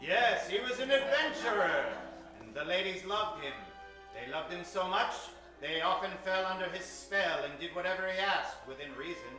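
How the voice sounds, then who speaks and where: In a very reverberant theater, with music playing behind him, a man announces an old-time radio show. His voice is high for a man and set back from the microphone. He delivers everything with grandiose, tongue-in-cheek showmanship and dramatic pauses. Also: animated, bright, forceful tone, distant mic. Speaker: host and announcer of a live radio-play show. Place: live theater stage, echoing room.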